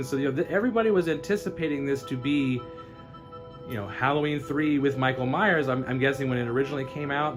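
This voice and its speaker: An American man hosting a vlog with casual, chatty commentary in an informal, jokey, incredulous manner. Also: on the high side. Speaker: vlog host.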